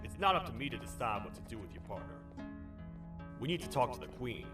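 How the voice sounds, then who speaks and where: A man's voice: mid-range voice, big pitch swings, fast, distant mic, over loud music, close to an everyday voice. An actor playing a stern, bossy lawman monster in a video game, with a commanding, clipped way of questioning. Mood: guarded, matter-of-fact. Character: gruff bossy cartoon lawman monster, stern but loyal to queen. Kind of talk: video game character dialogue.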